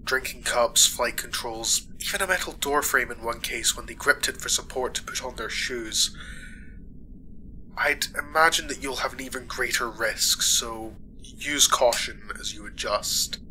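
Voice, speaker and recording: A man playing a reassuring space-hospital doctor in a sci-fi audio roleplay, formal, measured and clinical. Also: mid-range voice, fairly even intonation, sharp tone, a little hiss or hum, low-quality recording. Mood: professional, reassuring. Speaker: voice actor.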